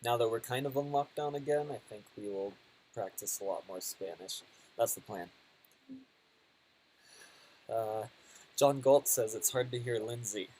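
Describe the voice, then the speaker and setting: Relaxed man chatting on a live stream. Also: medium pitch, measured pace, long pauses, slight background noise, rough recording. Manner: relaxed, hesitant, frequent fillers and laughs. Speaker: travel vlogger hosting a live stream. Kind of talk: casual live-stream chat reading viewer questions.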